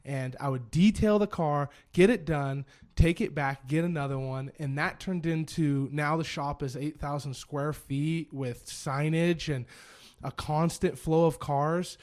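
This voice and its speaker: A man in his thirties, a business owner guest telling his story in a casual, animated way and often repeating phrases. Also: fairly high pitch, unhurried. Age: 30s. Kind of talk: casual conversation.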